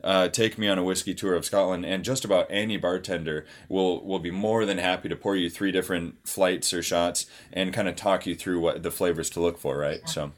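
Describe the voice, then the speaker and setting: A man hosting a travel chat, relaxed and conversational, asking his guests leading questions. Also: low-pitched, a little fast, few pauses. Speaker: podcast host.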